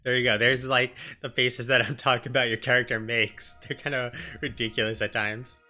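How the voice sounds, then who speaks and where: A man's voice: mid-range voice, level tone, a little fast, sharp tone, faint music behind. A man giving casual, informal commentary as he streams a video game. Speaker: let's play streamer.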